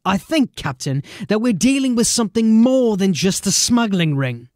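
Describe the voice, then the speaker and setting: A man playing a determined, embattled police sergeant in a dramatic audio drama, speaking earnestly and deliberately. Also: sits high, big pitch swings, a little mellow, dry recording. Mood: grave, insistent.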